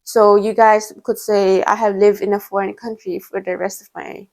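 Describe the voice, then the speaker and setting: A young woman from Thailand, a guest in an interview, answering in a thoughtful, measured way with soft fillers. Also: medium pitch, level tone, gravelly. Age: young adult. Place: indoors in China.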